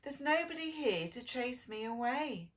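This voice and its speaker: A young storybook bird, a lonely little outcast, voiced by a woman reading aloud. He speaks in a high, plaintive, wistful way, a little distant from the mic. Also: expressive, unhurried. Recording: distant microphone.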